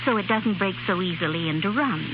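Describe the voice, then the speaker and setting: Actress as gentle advising aunt, 1930s radio commercial. Medium pitch, dull, noisy archival sound. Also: female voice, a little fast, natural voice. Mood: kindly. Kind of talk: 1930s scripted radio advertisement. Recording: hissy, muffled 1930s radio recording.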